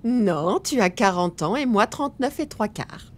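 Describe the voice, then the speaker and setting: A lively single optometrist, late thirties. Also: female voice, medium pitch, unhurried. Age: late 30s. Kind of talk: French film dub.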